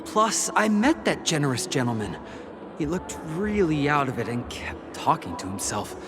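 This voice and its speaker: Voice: mid-range voice, very animated, normal speed, background noise. An actor playing an ordinary, skeptical guest in a video game, hesitant and doubtful in manner. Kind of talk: scripted video game dialogue.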